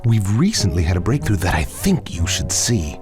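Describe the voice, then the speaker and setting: A man playing a cautious conspirator in a video game, speaking in a measured, formal and doubtful way. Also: sits low, sing-song, darkish tone, soft background music. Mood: wary, measured. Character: deep-voiced, cautious male conspirator in business dealings. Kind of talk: video game cutscene acting.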